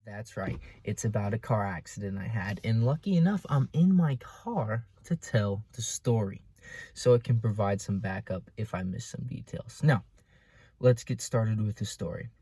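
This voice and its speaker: A man's voice: fairly low pitch, expressive, moderate pace, dark tone, slightly rough, dry recording. A young American man telling a personal story casually, with dramatic emphasis and sarcastic asides. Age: young adult. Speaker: vlogger. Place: inside a car.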